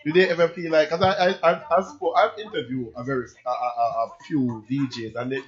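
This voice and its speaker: Guy hosting an interview, eager and rambly, mid pitch, kinda muffled recording. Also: fairly even intonation, brisk. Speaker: interview host. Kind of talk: casual interview.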